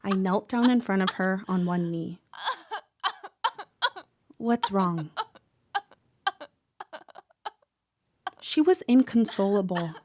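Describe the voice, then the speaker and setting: A woman playing a troubled, protective teenage girl who narrates a horror audio drama in a measured, dramatic way. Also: mid-range voice, lively intonation, darkish tone. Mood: wistful, tender. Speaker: actor narrating. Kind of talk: first-person horror audio drama monologue.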